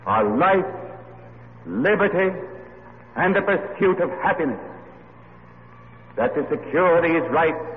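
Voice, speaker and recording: Revolutionary orator: solemn, fervent, declamatory. Old radio sound, long hall reverb. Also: male voice, sits high, phone-quality sound, slight background noise.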